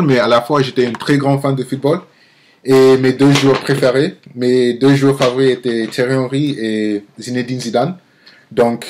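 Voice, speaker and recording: A young man in his twenties with an American accent and English as his first language speaks in a medium-pitched voice. He talks casually to the camera like an amateur vlogger, often searching for words and restarting his phrases. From Nigeria-born, grew up in the United States. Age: 20s. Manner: halting, searching for words, self-correcting. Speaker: amateur video blogger.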